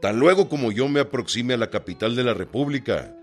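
An actor playing a rebel general reading out a formal letter in a radio drama, with music underneath. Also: male voice, mid-range voice, lively intonation, a little fast, close to an everyday voice. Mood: resolute.

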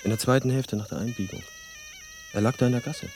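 An actor playing an uneasy American writer in a naturalistic radio drama, talking in a hesitant, probing way. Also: male voice, mid-range voice, quick pace, over music, close to an everyday voice. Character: uneasy American male writer, haunted by a violent deed. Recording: clear background noise of chirping crickets. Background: crickets, outdoor ambience.

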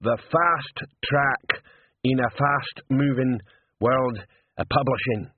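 A man voicing a huge, slow-witted troll doorman who is proud, easily cowed and halting over big words, in a storybook reading. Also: medium pitch, breathy edge, no room sound, narrow, tinny audio, lightly acted.

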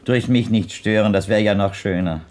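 An actor playing an old blind gentleman in a radio drama, courtly and gallant, with ornate, formal phrasing. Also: on the low side, steady tone, normal speed, lightly acted. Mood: insistent, lecturing. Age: older. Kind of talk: German radio drama acting.

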